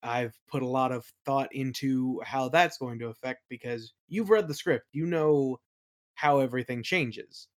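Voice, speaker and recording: A man's voice: mid-range voice, a little slow. A man answering as an interview guest in a casual conversational style, often hesitant as he thinks aloud. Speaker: interview guest, audio play creator.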